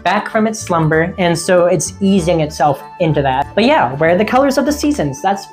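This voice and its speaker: A woman casually vlogging about seasonal witchcraft in a friendly, rambling way, her voice getting a little raspy. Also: on the low side, expressive, normal speed, darkish tone, soft background music. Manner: rambling, friendly, laughs often. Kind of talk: casual chatty vlog.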